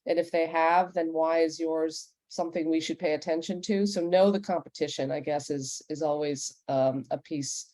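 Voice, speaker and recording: A woman's voice: sits low, fairly even intonation, moderate pace, few pauses, mellow, no echo. A woman moderating a panel discussion, giving plain-spoken advice in a conversational way and putting questions to the panelists. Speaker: panel moderator and podcast executive.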